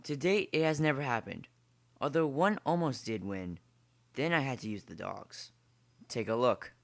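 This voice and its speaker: A man's voice: mid-range voice, animated, moderate pace, gravelly, a little hiss or hum. A man playing an urbane, smug aristocratic general in an amateur drama, speaking in a formal, genteel manner. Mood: smugly courteous. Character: urbane aristocratic male general who hunts people for sport. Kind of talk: amateur short story dramatization.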